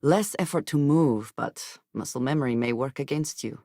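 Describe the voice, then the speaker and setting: An actress playing a guarded, wary woman companion in a space video game, with formal, measured phrasing and a dry manner. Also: a bass voice, a little mellow, no room sound. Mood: wary, matter-of-fact.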